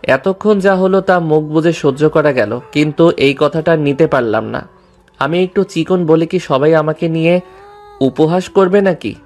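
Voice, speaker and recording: High-voiced man narrating a story. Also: normal speed, a little mellow. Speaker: story narrator and lead character. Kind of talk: first-person dramatized story narration. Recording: music behind the voice.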